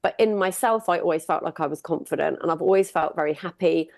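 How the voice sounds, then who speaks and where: A woman's voice: lowish voice, quick pace, a little mellow, no room sound. Chatty upbeat British woman, dry close sound. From United Kingdom. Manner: upbeat, chatty, laughs often. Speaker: podcast guest, singer and sound healer. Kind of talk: casual conversational interview.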